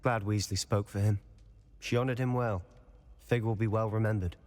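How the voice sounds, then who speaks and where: A man's voice: on the low side, steady tone, normal speed, darkish tone, natural voice. A young man voicing an earnest, troubled student in a video game, speaking in a subdued, sincere way. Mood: sombre, sincere. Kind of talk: video game cutscene dialogue. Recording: long reverberant hall.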